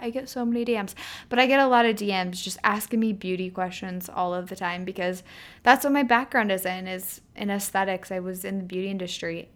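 Woman, podcast host, casual solo chat, slightly nasal, sore healing throat, fillers. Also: medium pitch. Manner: casual and chatty, many ums and likes.

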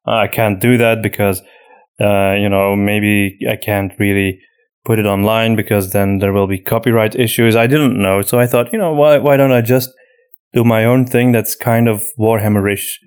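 A man's voice: fairly low pitch, fairly even intonation, normal speed, smooth. A man hosting a podcast in casual unscripted chat, thinking aloud hesitantly as he answers. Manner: hesitant, thinking aloud with frequent "you know". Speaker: podcast host and audio drama creator.

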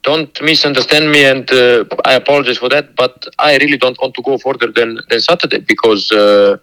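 Coach, male, bright tone, guarded and halting answers. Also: medium pitch, fairly even intonation, low-quality recording. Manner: halting, repeats words, careful and guarded. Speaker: football coach. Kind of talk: phone interview.

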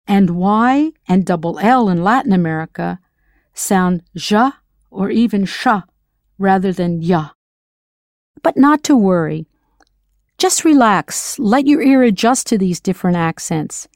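A woman whose first language is English, narrating an audio language lesson in a clear, encouraging way, slowing down to model pronunciation. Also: mid-range voice, lively intonation, a little mellow, dry recording, clean studio sound. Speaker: language teacher.

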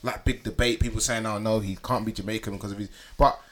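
A young British man co-hosting a casual chat, animated and slangy, full of "like" and "yeah". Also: fairly low pitch, fast. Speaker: podcast co-host.

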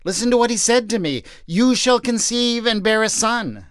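A man voicing an earnest, excited wife telling her husband news. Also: extremely high pitch, normal speed, smooth, no room sound, clean studio sound, pitched above the performer's own voice.

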